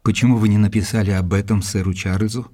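An actor playing an earnest doctor who narrates his investigation in an audio drama, with a measured, probing delivery. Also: on the low side, fairly even intonation, dark tone, no room sound, natural voice. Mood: insistent questioning.

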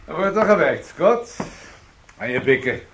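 An actor playing a hard, smug police interrogator in a radio crime drama, curt and taunting. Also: male voice, a little high, very animated, a little slow, background noise. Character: hard, needling male police interrogator, smugly in control.

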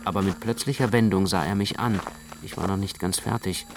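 A man's voice: deep voice, fairly quick, creaky, noisy background. A man narrating a radio play in the first person as an anxious, brooding literary storyteller.

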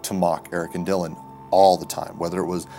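A man's voice: low-pitched, flat delivery, a little fast, dark tone, soft background music. An American man recollecting his school days as an interviewee.

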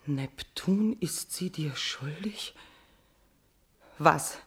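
A woman's voice: sits low, drawn-out words, fairly bright tone, breathy edge, heavily acted. An actress playing a passionate queen tormented by guilty love, declaiming dramatically in a classical verse radio tragedy. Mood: stricken.

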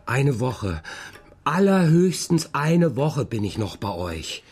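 A man's voice: mid-range voice, sing-song, takes it slowly, a little mellow, breathy edge, heavily acted. A man playing a hesitant, grudging middle-aged father in a German children's radio play.

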